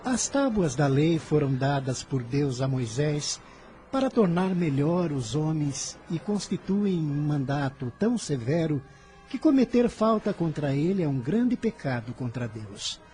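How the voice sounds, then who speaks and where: An actor with a Brazilian accent playing an earnest, serene, devout boy of fifteen in a radio drama, speaking in a measured, formal way. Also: on the high side, sing-song, mellow. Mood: earnest, righteous.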